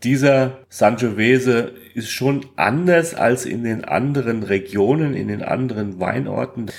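A man co-hosting a podcast, talking casually and colloquially about food and travel, with halting pauses. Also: medium pitch, creaky. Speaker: podcast co-host.

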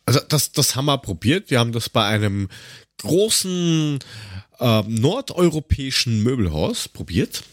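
A man's voice: mid-range voice, big pitch swings, normal speed, no echo. A man with an Austrian accent telling anecdotes in a casual chat as a podcast co-host, blunt, colloquial and grumbling.

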